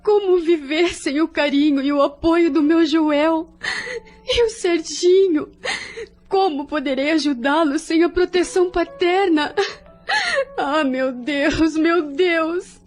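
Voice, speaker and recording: A woman's voice: extremely high pitch, slow, a little mellow. An actress playing a devoted, emotional wife and mother in an audio drama, with a Brazilian accent and an earnest, pleading manner. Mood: tearful despair. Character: devoted wife, then grieving, despairing widowed mother. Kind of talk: melodramatic audio drama acting.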